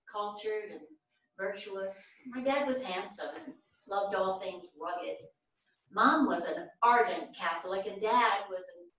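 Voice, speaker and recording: A woman's voice: mid-range voice, fairly bright tone, natural voice. Woman acting older widowed mother, frank, earnest, stage play reading, heard from far off in a very echoey theatre. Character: Pat, candid older widowed mother, the group's organizer. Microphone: far camera.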